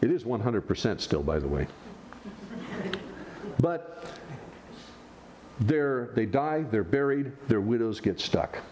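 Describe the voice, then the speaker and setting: A man preaching a sermon as pastor, teaching with rhetorical questions and animated swings in his delivery. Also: mid-range voice, slow pace, long pauses, slight background noise.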